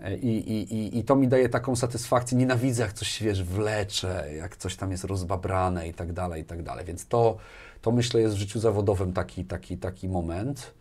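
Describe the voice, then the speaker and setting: A man's voice: lowish voice, normal speed. A middle-aged man talking casually as an interview guest, often repeating words as he thinks, on a lapel mic in a small studio. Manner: animated hand gestures, repeats words as he thinks. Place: small furnished indoor studio.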